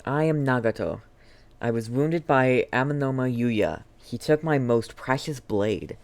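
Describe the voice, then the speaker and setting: A man reading out a wounded, regretful man's last letter from a game, halting and earnest. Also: mid-range voice, animated. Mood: pained. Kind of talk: dramatic reading of game text.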